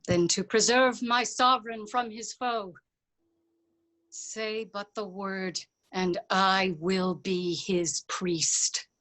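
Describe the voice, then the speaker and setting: A woman's voice: medium pitch, steady tone, takes it slowly, fairly bright tone, rough recording. A woman playing a scheming, outwardly dutiful English nobleman in classical verse. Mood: sinister resolve. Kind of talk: classical verse stage acting. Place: remote staged reading over video call.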